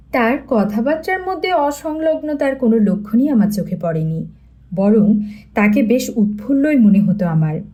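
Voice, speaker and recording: Female audiobook narrator, high register, dark mellow timbre, scripted storytelling.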